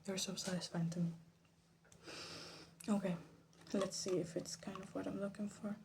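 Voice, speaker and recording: Woman, ASMR host: hushed, half-whispered and crackly with fry, thinking aloud, faint noise on a phone recording. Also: medium pitch, little pitch movement, normal speed. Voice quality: soft, breathy half-whisper with creaky fry. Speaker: vlog host. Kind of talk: ASMR casual commentary. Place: her bedroom.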